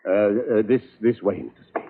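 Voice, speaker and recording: A man's voice: on the low side, moderate pace, slight background noise, natural voice. A man playing an agitated, harried doctor with stiffly formal phrasing, in 1940s radio acting style. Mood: flustered. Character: agitated, formal, foreign-sounding male doctor, harried husband. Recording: dull old radio recording.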